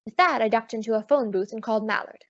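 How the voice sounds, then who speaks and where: Wisecracking female private eye woman actor, highish voice, brisk, teasing radio-drama delivery, take-charge attitude. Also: dry recording, close to an everyday voice. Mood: wry narration. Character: sassy, quick-witted female private detective, in command. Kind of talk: old-time radio drama acting with first-person narration. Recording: dull lo-fi audio.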